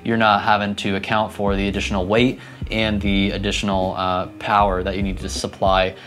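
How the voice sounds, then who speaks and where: A man's voice: lowish voice, completely flat delivery, fairly quick, a bit bright. A man in his thirties giving a conversational, practical tutorial to camera, speaking into a lapel mic. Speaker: church audio instructor. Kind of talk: explanatory tutorial talk to camera. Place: indoors, equipment room and church hall. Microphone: clip-on lapel mic.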